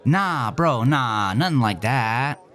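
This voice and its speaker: A man's voice: mid-range voice, animated, takes it slowly, a bit bright, pitched above the performer's own voice. A man voicing a boastful young buddy who talks in slang and turns defensive.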